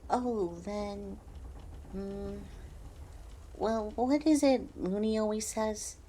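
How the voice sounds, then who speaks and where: A woman's voice: mid-range voice, fairly even intonation, very slow, warm tone. A woman playing a cheeky young cabin kid, an impudent twin on a pirate ship in an audio roleplay. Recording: faint background noise and ambience.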